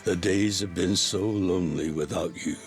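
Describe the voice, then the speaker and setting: Man doing an aging yakuza patriarch, deep voice, gentle, heartfelt letter reading, soft music behind. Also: moderate pace, close to an everyday voice. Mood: fond. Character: aging male yakuza patriarch writing fondly.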